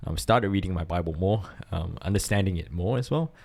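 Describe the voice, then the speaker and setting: A man's voice: low-pitched, lively intonation, a little fast, gravelly, a little mellow. A middle-aged man from Singapore with a Singaporean accent, co-hosting a worship podcast in casual, earnest chat. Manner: earnest, thinks aloud with restarts. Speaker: podcast co-host.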